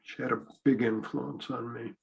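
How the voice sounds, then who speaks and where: A male poet speaking in a measured, reflective way on a discussion panel. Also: mid-range voice, flat delivery, creaky, darkish tone. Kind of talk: panel discussion after a reading.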